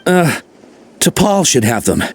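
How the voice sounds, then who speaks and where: A man's voice: mid-range voice, sing-song, slow pace, soft background music. A man playing a cowardly, frightened henchman in a video game, stammering and pleading as he talks. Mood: anxious explaining. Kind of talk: video game character acting.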